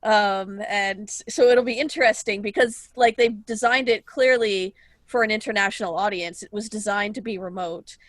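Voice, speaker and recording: A woman's voice: medium pitch, fairly even intonation, normal speed, no echo. A woman co-hosting a podcast, telling anecdotes in a chatty way and laughing easily. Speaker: podcast co-host. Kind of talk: casual podcast chat.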